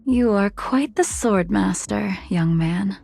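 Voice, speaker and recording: A woman playing a calm, enigmatic veteran swordswoman in a video game, speaking in a measured, poetic, formal way. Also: mid-range voice, vocal fry, soft background music. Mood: cool, aloof. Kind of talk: English video game voice acting.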